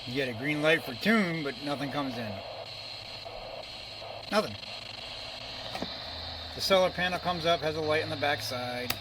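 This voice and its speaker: A slightly high-voiced man gives a casual, off-the-cuff product review. He is blunt and dismissive, grumbling as he goes. Loud noise runs behind his voice. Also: unhurried, long pauses.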